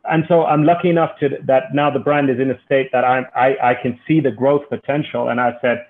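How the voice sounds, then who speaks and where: A man from Kenya giving earnest, halting, conversational interview answers as an entrepreneur and guest. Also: medium pitch. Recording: thin phone-like call audio.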